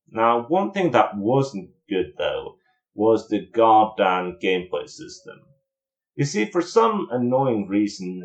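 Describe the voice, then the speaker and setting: A man with a fairly low voice talks through a review as a video host. He is informal and opinionated, speaking in a casual commentary style with the odd mild swear word.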